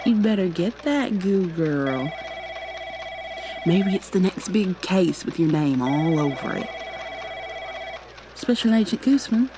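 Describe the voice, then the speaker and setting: A woman's voice: lowish voice, animated, takes it slowly, long pauses, background noise. Woman putting on a mocking, sneering police colleague. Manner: mocking, drawling taunts. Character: sneering human police colleague, taunting bully. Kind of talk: ASMR roleplay acting.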